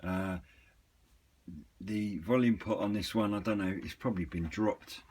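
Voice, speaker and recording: A man giving a casual, unscripted product walkthrough in a hesitant, rambling, matter-of-fact way, with a slightly husky voice. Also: on the low side, steady tone, a little slow, darkish tone. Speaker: hobbyist reviewer and seller of used CB radios.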